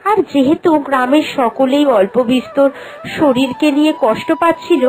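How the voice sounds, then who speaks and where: A woman narrating a cartoon fairy tale in a steady storytelling tone. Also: a little high, low-quality recording.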